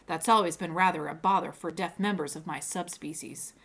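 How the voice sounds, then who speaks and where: A woman's voice: deep voice, brisk, a bit bright. A woman voicing a large storybook Tyrannosaurus with a mild, pedantic dignity. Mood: mildly aggrieved.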